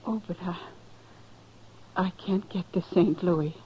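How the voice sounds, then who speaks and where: A woman's voice: on the low side, steady tone, slow pace, breathy edge, exaggerated voice. An actress playing a battered, plainspoken frontier woman with an American accent and simple country speech, in a muffled 1950s radio western. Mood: subdued, grateful. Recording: muffled, noisy old 1950s radio recording.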